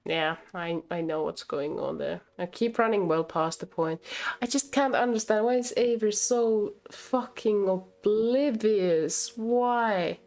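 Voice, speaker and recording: A woman's voice: mid-range voice, a little slow, a little mellow. A woman game streamer reading a visual novel aloud expressively and breaking off to comment, with game music behind her.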